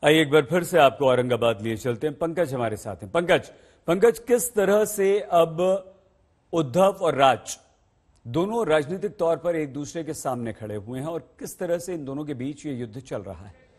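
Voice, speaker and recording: A man's voice: a little high, expressive, moderate pace, slightly rough. A man anchoring live television news, introducing reports and putting questions to reporters.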